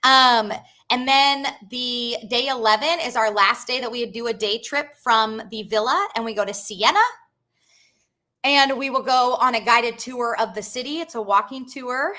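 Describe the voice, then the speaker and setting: A woman's voice: fairly high pitch, normal speed, sharp tone. A woman hosting a live stream about a group tour, talking in a chatty, upbeat way with plenty of ums and replies to viewer comments. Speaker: travel company host.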